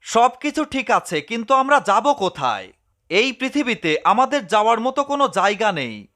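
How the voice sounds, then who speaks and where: A man's voice: sits high, sing-song, normal speed, pushed and bright, exaggerated voice. A man voicing a whiny, melodramatic, hungry male cat in a cartoon dub. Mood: sad, self-pitying. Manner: melodramatic, exclaiming.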